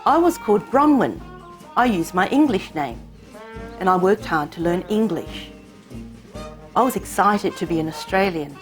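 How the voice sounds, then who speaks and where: A woman raised in Hong Kong whose first language is Cantonese, telling her family's story in measured, reflective scripted narration. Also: mid-range voice, expressive, soft background music. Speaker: narrator of her own short film.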